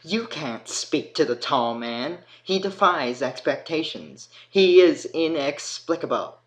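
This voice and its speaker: A man voicing an excitable, skittish talking fox in a storybook tale, protesting emphatically and loudly. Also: a little high, slow pace, pitched above the performer's own voice, poor audio. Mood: alarmed.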